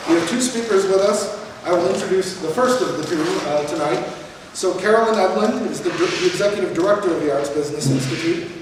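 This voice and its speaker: High-voiced man announcing in an echoey hall. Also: a little fast. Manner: loose, repeats words, says "you know". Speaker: event host. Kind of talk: event announcements and speaker introduction. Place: event hall in an arts building. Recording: echoey hall, mic far off, background noise.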